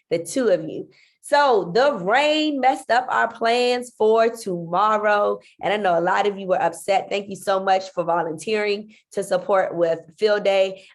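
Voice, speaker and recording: A woman with a stuffy, nasal voice from congestion, running an online parent meeting as a school leader and talking in a friendly way with frequent ums. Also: medium pitch. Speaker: school principal.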